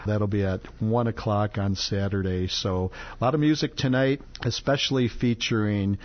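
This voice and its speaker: A man's voice: fairly low pitch, steady tone, normal speed, highs cut off. A man hosting a radio show, giving chatty, upbeat event announcements. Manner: chatty and upbeat, laughs often. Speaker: radio host. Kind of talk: casual on-air announcements.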